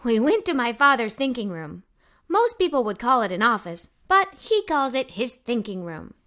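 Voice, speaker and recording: A woman with a high, bright voice narrates a storybook for children. She speaks in the role of an eager young girl, with lively swings in pitch and plenty of enthusiasm. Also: moderate pace. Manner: animated, enthusiastic. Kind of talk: expressive children's read-aloud, narrating in character as an adventurous young girl.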